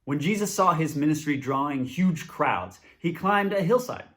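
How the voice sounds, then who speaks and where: A man's voice: fairly high pitch, expressive, moderate pace, a bit bright. Man introducing and reading scripture.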